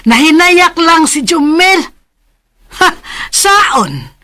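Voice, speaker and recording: A woman's voice: extremely high pitch, very animated, extremely slow, bright, forceful tone, full character voice. A woman playing a middle-aged aunt in a radio drama, chatty and a little scolding. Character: middle-aged aunt, tired but caring.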